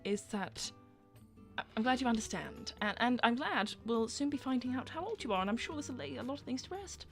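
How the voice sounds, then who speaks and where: A woman plays a prim young cartoon cat with a slightly high voice. She is serious, polite and stern, choosing formal, proper wording in improvised role-play. Soft music sits behind her. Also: lively intonation, brisk. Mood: polite, composed.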